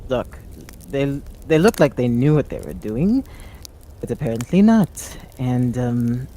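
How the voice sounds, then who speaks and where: A brash, flirty adventurer, acted out by a tabletop role-player in a fantasy game. The voice is dark and relaxed, and the words come slowly, halting and coy, with a teasing air of innocence. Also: mid-range voice, slight background noise. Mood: awkward, casual.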